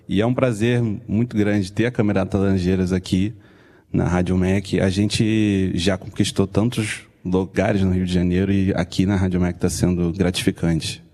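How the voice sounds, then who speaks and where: A man's voice: sits low, little pitch movement, normal speed, dark tone, big-room sound. A man with a Brazilian accent introducing himself as a musician guest in a radio interview. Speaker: cellist and producer, guest.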